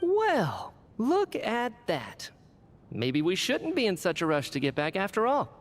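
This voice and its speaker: A man's voice: high voice, wildly swooping pitch, measured pace, big-room sound, soft background music, exaggerated voice. A man playing a playful, work-shy scientist in a video game, with a breezy, teasing, theatrical delivery. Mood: amused.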